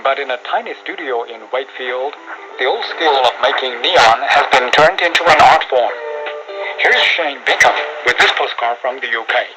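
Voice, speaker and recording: A man with a medium-pitched voice hosts a radio programme, reading a scripted introduction. Loud music plays behind him. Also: lively intonation, normal speed, low-quality recording. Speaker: radio show host. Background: loud music bed.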